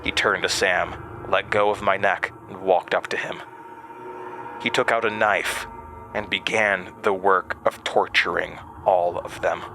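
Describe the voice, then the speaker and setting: A man playing a haunted narrator in a horror audio drama, recalling his past gravely with dramatic pauses. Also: deep voice, steady tone, over music. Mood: dread. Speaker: narrator and protagonist.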